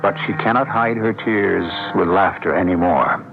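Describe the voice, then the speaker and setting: A man hosting and narrating a radio drama in a measured, theatrical, rhetorical style. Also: deep voice, background noise. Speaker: host and narrator. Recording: tinny old radio broadcast, music behind. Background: clear music.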